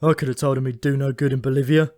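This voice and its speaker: A man's voice: mid-range voice, level tone, fast, a little mellow, no room sound, clean studio sound, close to an everyday voice. A man voicing a short-tempered, world-weary male raven with a blunt, irritable, colloquial manner, in a comic fantasy audiobook.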